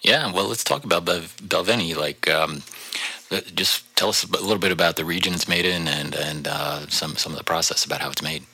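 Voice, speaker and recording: A man hosting a cocktail podcast from his home studio, relaxed and full of "uh" fillers. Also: low voice, a little fast, gravelly, slightly rough, no echo. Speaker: podcast host.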